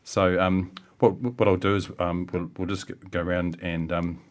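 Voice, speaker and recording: A man chairing a live meeting on a radio show, speaking haltingly and repeating his words. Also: low voice, little pitch movement.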